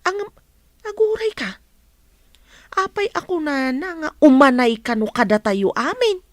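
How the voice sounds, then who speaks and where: A woman's voice: high voice, big pitch swings, unhurried, dry recording, clean studio sound. A woman playing a chatty, deferential housemaid in an Ilocano radio comedy-drama.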